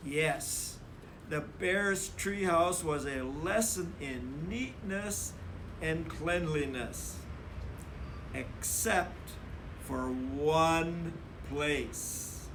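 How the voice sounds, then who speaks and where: A man reading a children's story aloud in a deliberate, emphatic storytelling manner. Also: fairly high pitch, a bit bright, a little hiss or hum. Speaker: storybook reader.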